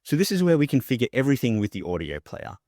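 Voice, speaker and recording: A man narrating an instructional software tutorial, explaining things step by step as the presenter. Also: mid-range voice, sing-song, fast talker, dry recording, clean studio sound. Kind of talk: instructional screen-share narration.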